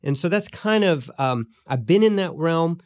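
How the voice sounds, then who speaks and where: A man in his fifties, a pastor and podcast co-host, talking casually about his book with many pauses, fillers and restarts. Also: fairly high pitch, lively intonation, a little mellow, no echo. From born in Guyana, raised in Belize. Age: 50s. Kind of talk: casual interview chat.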